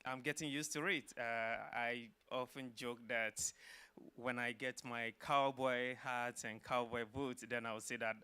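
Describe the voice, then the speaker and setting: A middle-aged man from Ghana, a professor answering interview questions in a measured, explanatory way with some filler uhs. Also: mid-range voice, fairly even intonation, bright, forceful tone, clean tone. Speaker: guest, social work professor.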